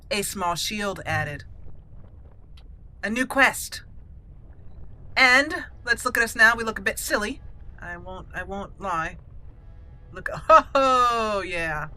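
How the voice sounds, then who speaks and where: A woman's voice: mid-range voice, sharp tone, poor audio. A woman hosting a let's play, chatting casually and playfully as she comments on the gameplay. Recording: faint background noise.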